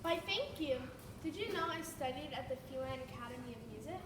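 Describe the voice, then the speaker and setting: Girl as a tired, refined old cat, high voice, far and noisy stage sound. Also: expressive, fairly quick. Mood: genteel. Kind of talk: school stage play acting. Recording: echoey hall, distant mic, noisy.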